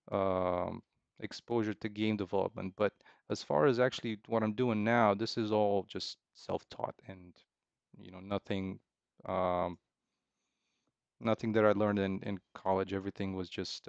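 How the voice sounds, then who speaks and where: A man talks off the cuff in a smooth, clean voice at a middling pitch. He feels his way through each thought, hesitant and rambling, often repeating himself. The sound is close and dry, though a little dull, like a casual stream from a desk. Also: no room sound. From Romania. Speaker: game developer streaming. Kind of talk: casual unscripted chat, answering viewers' questions.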